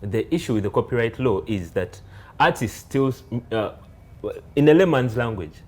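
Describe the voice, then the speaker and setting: Male interviewer, halting delivery. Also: medium pitch, animated, darkish tone, slight background noise. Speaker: interviewer, host. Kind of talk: conversational interview questioning.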